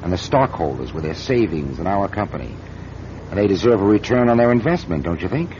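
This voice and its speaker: Through noticeable noise on a muffled radio drama recording comes a cornered American company boss. His voice sits on the low side, menacing and full of self-justification, a ruthless executive defending himself. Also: sing-song, fairly quick. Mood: pressing, exasperated. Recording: dull, noisy old radio drama recording.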